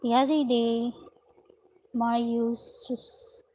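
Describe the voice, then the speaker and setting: Slow-talking woman crocheting at home. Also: fairly high pitch, level tone, a little mellow, a little hiss or hum, poor audio. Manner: unhurried, chatty, talks while working. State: slightly raspy voice. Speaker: crochet vlogger. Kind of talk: slow casual vlog narration while crocheting. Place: at home, indoors.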